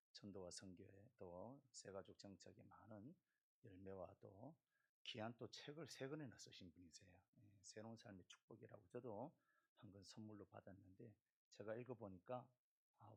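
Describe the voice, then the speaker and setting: A male pastor, hoarse from a throat cold, slowly reading scripture and introducing a guest speaker. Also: low voice. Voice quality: gravelly, husky. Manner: slow, deliberate scripture reading. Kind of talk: church service introduction.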